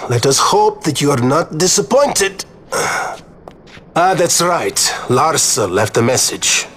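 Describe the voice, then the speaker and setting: An actor playing a suave nobleman in a video game, speaking in an eloquent, formal and measured way. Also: on the high side, very animated, fairly bright tone, full character voice. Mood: wry, resigned. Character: suave, courtly male nobleman, earnest and wry. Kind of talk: video game cutscene acting.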